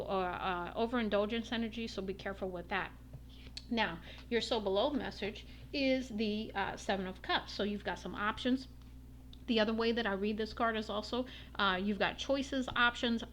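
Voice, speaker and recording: A woman giving a casual tarot reading, her voice slightly raspy from a long day, meandering with many ums and uhs. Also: medium pitch, fairly bright tone, slight background noise. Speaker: tarot reader.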